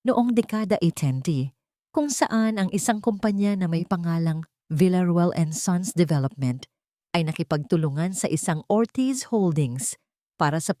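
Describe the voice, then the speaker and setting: Low-voiced woman narrating a dramatic story. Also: a little mellow, no echo, studio quality.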